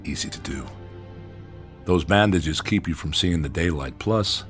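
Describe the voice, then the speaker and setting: An actor playing a cautious, authoritative hospital doctor in a radio drama, formal and measured in manner. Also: male voice, deep voice, a bit bright, soft background music. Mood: measured, reassuring.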